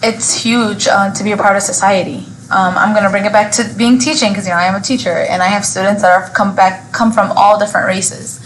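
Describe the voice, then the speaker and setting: A woman's voice: mid-range voice, steady tone, brisk, hardly any pauses, slight background noise, poor audio. A woman teacher of Yemeni background on a live podcast panel, eager and jumping into the talk. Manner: eager, jumps in over others. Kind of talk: casual panel discussion. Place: restaurant, live event.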